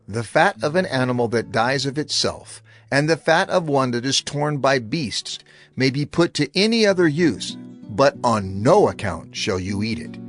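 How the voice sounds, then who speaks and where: A man narrating scripture from a script in a formal, measured reading manner. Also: mid-range voice, animated, soft background music.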